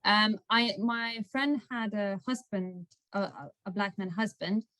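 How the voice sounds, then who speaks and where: A young woman with a Lithuanian accent answers interview questions in a careful, hesitant way. Her voice sits at an ordinary pitch and sounds close and dry, with no room around it. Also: fairly even intonation, measured pace, fairly bright tone, no echo. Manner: thoughtful, hesitant. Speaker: guest.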